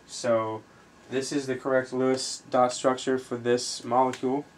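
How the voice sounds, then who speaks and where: A man tutors informally in a flat, medium-pitched voice. He moves haltingly from step to step, as if thinking aloud while he writes. The amateur recording has faint background noise. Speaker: chemistry tutor, video host. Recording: noisy amateur recording with room ambience.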